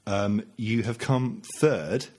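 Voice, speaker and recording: A man's voice: lowish voice, slow pace, darkish tone. A man hosting a podcast, congested from a cold, with a dry, teasing sense of humor in casual chat. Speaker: podcast host. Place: small studio room above a gym.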